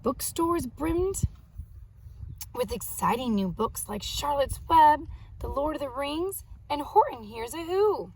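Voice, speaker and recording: Female children's storytime host, high voice, expressive delivery with simple explanatory asides. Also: slow pace, poor audio. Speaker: children's read-aloud host and art teacher. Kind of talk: reading a picture book aloud to children, with asides.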